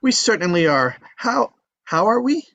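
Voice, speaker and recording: A woman's voice: medium pitch, sing-song, moderate pace, vocal fry, a bit bright, no room sound, heavily acted. A woman playing a loyal quest companion at a screenplay table read. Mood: questioning.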